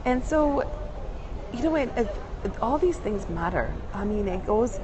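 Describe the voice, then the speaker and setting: A woman's voice: a little high, lively intonation, measured pace. A woman speaking casually and thoughtfully about workplace inclusion, with many fillers and restarts, recorded at an airport. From Canada. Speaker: diversity speaker. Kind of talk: casual spoken answer. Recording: noisy airport background. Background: airport announcements and bustle.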